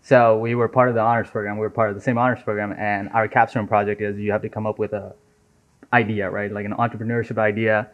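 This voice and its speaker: A young man from Nepal talks in a casual, chatty way with a fairly low voice. The recording sounds dull and lo-fi. He keeps things loose and conversational as a guest. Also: quick pace. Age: 20s. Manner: relaxed and chatty, many fillers like "right?" and "man". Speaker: software engineer guest. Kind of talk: casual interview chat. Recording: dull lo-fi audio.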